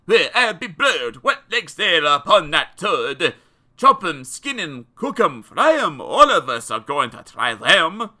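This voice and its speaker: A man voicing greedy, excitable French villagers baying for a meal in a storybook poem. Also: a little high, takes it slowly, exaggerated voice. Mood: greedy excitement. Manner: loud, excitable, gloating.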